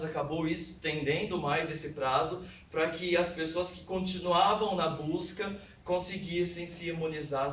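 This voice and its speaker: A man with a Brazilian accent giving an academic presentation, explaining in a halting way and correcting himself as he goes. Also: a little high. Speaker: presenter of a research paper. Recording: echoey room, distant mic, faint noise.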